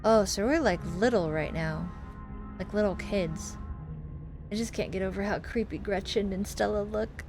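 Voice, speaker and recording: Female game streamer, casual and talkative commentary, middle-pitched voice, clear music bed. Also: normal speed. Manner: chatty, thinks aloud. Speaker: gameplay streamer reading a visual novel aloud. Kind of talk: casual playthrough commentary and read-aloud. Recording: music behind the voice.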